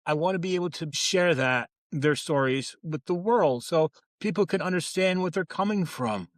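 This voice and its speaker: A man with a fairly high-pitched voice speaks as a journalist in a casual interview. He talks earnestly and reflectively and often stops to restart his phrasing. The recording is dry and close. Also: normal speed, no room sound.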